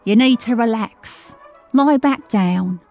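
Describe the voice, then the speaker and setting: A woman's voice: a little high, slow, darkish tone, soft background music. An actress playing a firm, protective woman tending a dying man in a fantasy audio drama. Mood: firm, concerned.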